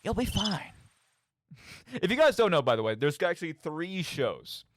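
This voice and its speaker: A man's voice: high-pitched, very animated, moderate pace, noisy background. A young man hosting a casual, rambling radio show in a playful, mock-dramatic, tongue-in-cheek manner. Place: radio studio. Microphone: studio microphone.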